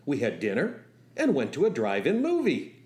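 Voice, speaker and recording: A man's voice: medium pitch, huge pitch swings, normal speed, a little mellow. A man acting as a young driving instructor and soldier, telling his love story in a wry, folksy way for a radio play. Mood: wry, nostalgic. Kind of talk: first-person radio storytelling with acted dialogue.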